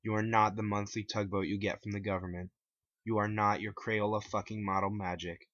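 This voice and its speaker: Forceful man reading a story aloud. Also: fairly low pitch, little pitch movement, a little fast, dry recording, dull audio. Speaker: author reading his story. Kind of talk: dramatic first-person story reading.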